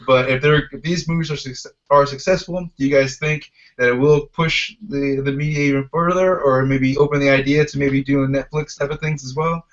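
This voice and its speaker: A man's voice: mid-range voice, steady tone, normal speed, few pauses. Man hosting, in dull lo-fi audio. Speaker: podcast host. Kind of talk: casual panel discussion.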